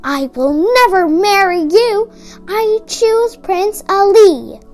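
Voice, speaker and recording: A woman's voice: very high voice, lively intonation, slow, a little mellow, soft background music, voice pushed up, exaggerated voice. A woman voicing a spirited young storybook princess, affectionate yet defiant, speaking emphatically. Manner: emphatic, raised voice.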